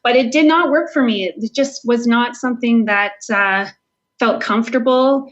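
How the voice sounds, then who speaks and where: A woman's voice: a little high, moderate pace. A woman with a Canadian accent talking casually and earnestly as a guest author in an interview, thinking aloud as she goes. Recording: dull lo-fi audio.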